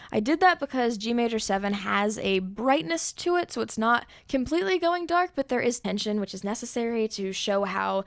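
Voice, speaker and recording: High-voiced young woman with vocal fry. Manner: relaxed, explanatory, with thinking fillers. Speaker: songwriter explaining her arrangement. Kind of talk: casual music theory walkthrough.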